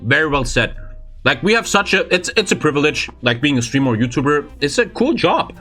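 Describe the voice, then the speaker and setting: A man in his twenties hosting a casual podcast, frank and animated, asking lots of questions. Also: fairly high pitch, brisk, soft background music. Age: 20s. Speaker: podcast host and streamer.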